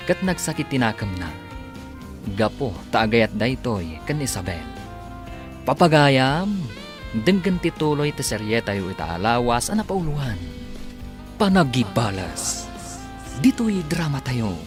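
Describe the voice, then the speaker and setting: A man's voice: medium pitch, very animated, unhurried, long pauses, music in the background. A man with an Ilocano accent delivering dramatic scripted narration and credits as a radio drama announcer.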